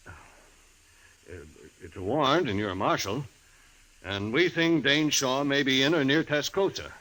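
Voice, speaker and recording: An actor playing a prickly, self-righteous cattlemen's agent, stuffy and insistent, in an old-time radio western. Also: male voice, mid-range voice, a little slow, pushed and bright, low-quality recording. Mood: officious, flustered. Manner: insistent, stuffy, flustered when challenged.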